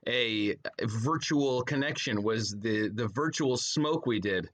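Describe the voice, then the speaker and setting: A man's voice: mid-range voice, slow pace, fairly bright tone. An American man chatting casually as a podcast guest, with a wry, joking manner. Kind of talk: casual chat.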